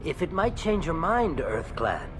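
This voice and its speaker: A man's voice: on the high side, huge pitch swings, a little slow, noisy background. A man voicing a shrewd, ingratiating alien merchant in a video game, with sly, oily politeness. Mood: sly, coaxing.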